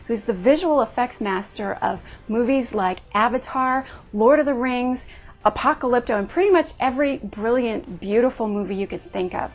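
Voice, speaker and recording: A woman hosts interviews with a very high, light voice, chatty and playful as she asks her questions. Faint music sits underneath. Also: a little mellow. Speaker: host and interviewer. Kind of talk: upbeat interview hosting.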